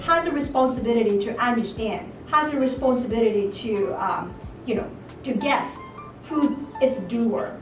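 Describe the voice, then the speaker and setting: A fairly high-voiced woman whose first language is Japanese explains her work as a localizer, pausing on fillers as she thinks. She is recorded from a distance in a roomy, echoing space with music playing clearly behind her. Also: lively intonation. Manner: thoughtful, frequent fillers like "you know". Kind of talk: interview conversation. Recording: echoey room, distant mic, music behind.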